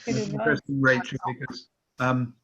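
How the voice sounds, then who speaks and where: A male writer chatting tentatively and haltingly in a spontaneous discussion on an online call. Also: mid-range voice, expressive, highs cut off, crowd noise.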